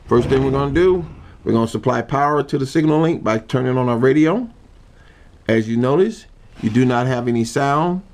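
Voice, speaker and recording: A man giving an informal how-to demonstration, explaining step by step in a halting manner. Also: medium pitch, a little mellow. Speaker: hobbyist demonstrator. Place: indoor room.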